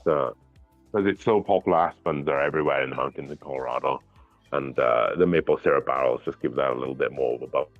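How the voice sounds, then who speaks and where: A man's voice: very deep voice, fast, no echo, muffled recording, soft background music. A Welsh man in his thirties with a Welsh accent, chatting casually about whiskey, a bit mumbly. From Wales. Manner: relaxed, chatty, slightly mumbly. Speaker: guest whiskey taster. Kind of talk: casual chat.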